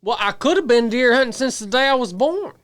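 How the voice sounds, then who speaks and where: A man's voice: extremely high pitch, animated, a little fast, a bit bright, dry recording, clean studio sound. A man in his thirties, a podcast co-host, arguing his point emphatically in casual group chat. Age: 30s. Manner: emphatic, defends his views at length. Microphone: studio podcast mic.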